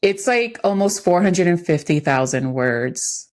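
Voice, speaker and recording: A woman's voice: lowish voice, lively intonation, unhurried, a little mellow. A woman co-hosting a podcast in casual, candid conversation, telling stories effusively with frequent asides. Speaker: podcast co-host.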